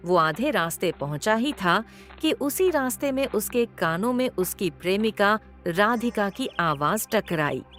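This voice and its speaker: A woman narrating a cartoon story in an expressive, measured storytelling manner. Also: medium pitch, faint music behind.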